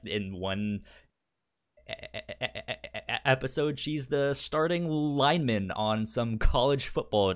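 Stuttering man with a lower voice. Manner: stutters, repeating sounds and words. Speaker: podcast co-host. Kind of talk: casual review chat.

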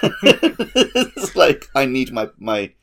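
A man chatting casually as a podcast host, telling stories in an animated, laughter-prone way. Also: mid-range voice, extremely slow, vocal fry, a crowd in the background. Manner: animated storytelling with frequent laughter. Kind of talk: casual chat.

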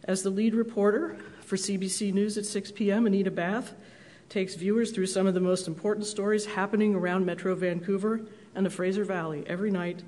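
A woman's voice: medium pitch, fairly even intonation, brisk, warm tone. A woman reading a formal, scripted introduction at a public event. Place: large indoor auditorium stage. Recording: echoey hall with long reverb.

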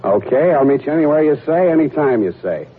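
A man's voice: fairly high pitch, expressive, quick pace, slight background noise, natural voice. A man with an American accent playing a wry, hard-boiled private detective in 1940s radio drama, with a breezy, wisecracking manner. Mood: sardonic. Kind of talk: 1940s radio drama acting, first-person narration. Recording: old muffled radio recording with music.

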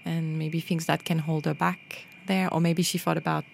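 A woman's voice: sits low, little pitch movement, normal speed, gravelly, slight background noise. A woman from France hosting a podcast in a radio studio, leading the chat with a hesitant, filler-heavy delivery. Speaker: podcast host.

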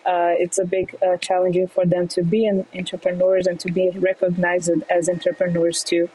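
A woman's voice: lowish voice, monotone, breathy edge, rough recording. A woman from Brazil in her thirties, answering interview questions in English with frequent fillers and restarts. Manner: halting, many fillers and restarts, soft laughs. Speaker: guest, fintech entrepreneur.

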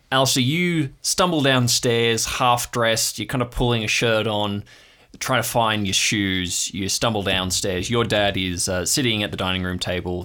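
A man's voice: lowish voice, a bit bright. A man narrating an improvised audio drama as game master, describing scenes to the players.

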